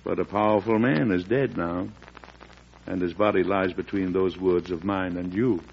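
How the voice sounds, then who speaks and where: An actor playing a weary, compassionate early president in a radio drama, speaking gravely and deliberately. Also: male voice, low voice, fairly even intonation. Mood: solemn, sorrowful. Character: weary, measured, compassionate male president of the early United States. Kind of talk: old-time American radio drama acting. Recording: dull, noisy vintage radio recording.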